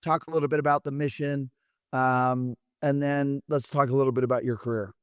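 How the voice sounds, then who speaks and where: A man's voice: mid-range voice, a little fast, clear, resonant tone, dry recording, studio quality. Man hosting a podcast, losing his voice. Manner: relaxed, rambling with fillers. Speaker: podcast host. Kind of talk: conversational interview.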